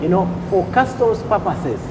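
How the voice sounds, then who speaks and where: A man from Uganda, a customs official on a talk show, explaining rules deliberately and repeating points with "okay?" checks. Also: high voice, very animated, background noise.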